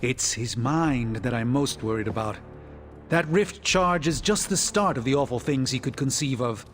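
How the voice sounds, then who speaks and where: An actor playing a proud, weary sorcerer in a video game, grave and theatrical. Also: male voice, medium pitch, expressive, normal speed, soft background music, lightly acted. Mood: weary, worried. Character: weary, bitter male sorcerer, proud and dramatic. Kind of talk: dramatic video game acting.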